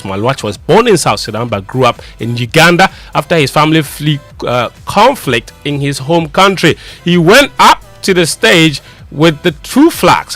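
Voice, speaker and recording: A young man presenting sports news on a morning radio show, excitable and teasing in studio banter. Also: medium pitch. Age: young adult.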